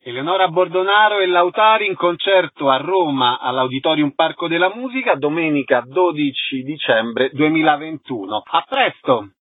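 A man's voice: on the high side, animated, normal speed, few pauses, narrow, tinny audio. A man presenting and asking questions as a radio interviewer. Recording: thin band-limited radio sound.

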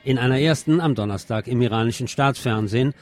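Male news commentator, medium pitch, scripted read with a sardonic edge, light music bed underneath. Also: quick pace, darkish tone. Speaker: news commentator presenting a radio programme.

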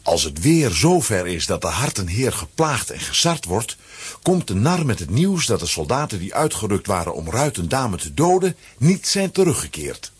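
A man with a husky voice narrating a children's audio play as a dramatic scripted story. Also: lowish voice, very animated, moderate pace.

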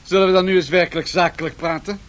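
An actor playing a shrewd, unyielding Swedish business magnate who bargains in a calculated way, in radio drama style. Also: male voice, sits high, steady tone, quick pace, fairly bright tone. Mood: firm, bargaining. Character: shrewd, unyielding Swedish match tycoon negotiating huge deals. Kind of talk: 1970s Dutch radio drama acting. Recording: noisy 1970s radio drama recording.

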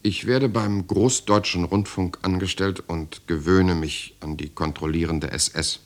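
An actor in a radio drama playing an earnest writer and secret resister, in dialogue and first-person narration. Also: male voice, low voice, steady tone, measured pace. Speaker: protagonist and narrator.

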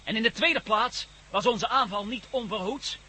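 An actor playing a cocky, menacing gang leader in a radio drama, barking orders with a sardonic edge. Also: male voice, high-pitched, normal speed, bright, forceful tone, slight background noise. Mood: sardonic, threatening. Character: cocky commanding male armed gang leader, menacing, mocking.

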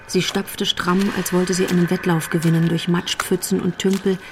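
A woman's voice: lowish voice, level tone, moderate pace, background noise, close to an everyday voice. An actress playing the composed storyteller of a crime radio drama, a woman reading scripted literary narration.

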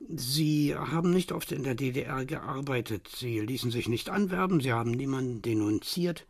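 A man's voice: mid-range voice, normal speed, gravelly, husky, no room sound, studio quality. An actor playing a stocky, dismissive male archive official in a radio drama, officious and pointed in his manner.

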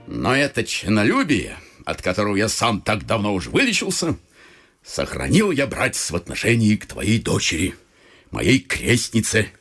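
Animated, bright-voiced old retired officer. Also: male voice, fairly low pitch, normal speed, husky, full character voice. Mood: proud, excited. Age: older. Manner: theatrical, effusive, folksy old-fashioned turns of speech. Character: talkative, touchy old retired officer turned small-town clerk, godfather. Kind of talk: classic Russian radio vaudeville acting. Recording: stage radio play with faint music.

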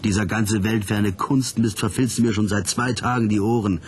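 A man's voice: on the low side, fairly even intonation, normal speed, natural voice. An actor playing a wry, hard-boiled pop detective who narrates his case in a dry, laconic way in a radio drama. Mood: sardonic.

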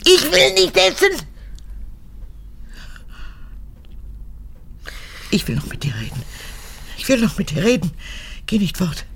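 An actress in a radio drama playing a manipulative, childish old woman in her eighties, an unrepentant former camp guard, with a husky, gravelly voice. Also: a little high, wildly swooping pitch, normal speed, exaggerated voice. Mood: pleading, wheedling. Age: 80s. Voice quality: husky, gravelly, often breaking into whispers. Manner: capricious, swinging between childlike pleading and cold recounting.

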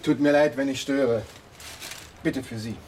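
An actor playing a pushy, easily flustered police detective in a naturalistic German radio drama. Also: male voice, mid-range voice, measured pace, darkish tone, slight background noise, natural voice. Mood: smooth, courting.

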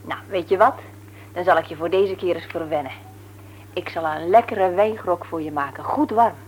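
An actress in a Dutch radio play, playing a brisk, teasing, no-nonsense nurse married to a man she likes to mock. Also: on the low side, close to an everyday voice. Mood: teasing, curious. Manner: brisk, mocking, matter-of-fact. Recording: noisy old radio recording with hum.

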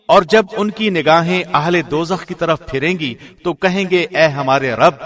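A man reads a translation of scripture from a script. His delivery is formal and measured, like a radio presenter's, and his voice sits a little higher than most men's. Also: fairly bright tone. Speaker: translation reader, radio host.

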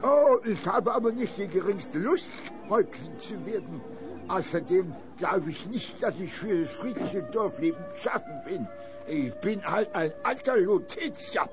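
An actor playing a gruff, stubborn old Gaulish veteran in a radio play. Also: male voice, high-pitched, animated, unhurried, over music. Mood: grave. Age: older. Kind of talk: German radio play acting.

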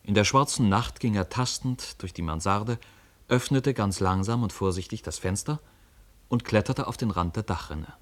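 A man's voice: on the low side, moderate pace. A man narrating a story for a radio play in scripted storytelling style.

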